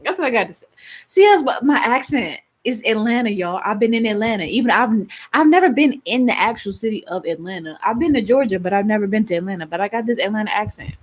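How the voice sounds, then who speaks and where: A woman's voice: medium pitch, animated, fast talker. A young woman from Baltimore with a Baltimore accent, hosting a casual live stream with rambling, laughing talk and lots of fillers. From Baltimore, Maryland. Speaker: livestream host.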